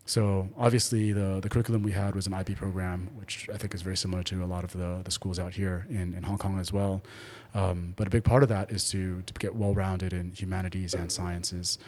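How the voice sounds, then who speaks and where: Deep-voiced middle-aged man from Taiwan. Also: darkish tone. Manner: thoughtful, many hesitations and fillers. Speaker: podcast guest. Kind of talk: relaxed conversational interview.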